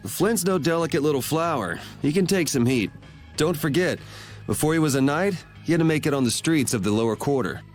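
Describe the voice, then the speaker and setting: A man's voice: on the high side, expressive, a little fast, close to an everyday voice. A man voicing a cool, sardonic young man in a video game, with a dry, laid-back wit. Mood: wry. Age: young adult. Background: game music.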